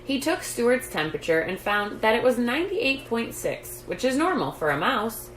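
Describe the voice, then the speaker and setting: A woman hosting story time, reading a children's book aloud in an expressive, deliberate, emphatic way. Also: on the high side. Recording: roomy echo, background noise.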